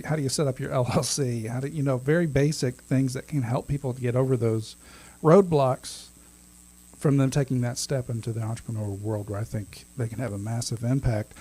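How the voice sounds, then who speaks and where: A man's voice: mid-range voice, fast talker, dark tone, breathy edge. A man in his thirties co-hosting a podcast, chatting casually, laughing often and restarting his sentences. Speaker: podcast co-host. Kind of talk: casual chat.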